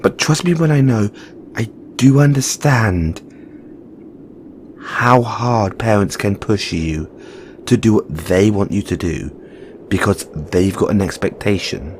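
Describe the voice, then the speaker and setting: A man's voice: fairly low pitch, slow pace, slight background noise. A man playing a frank, caring Christmas elf in an audio roleplay, earnest and informal, chiding yet reassuring. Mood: sympathetic. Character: frank, caring male Christmas elf, weary but supportive. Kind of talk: second-person audio roleplay monologue.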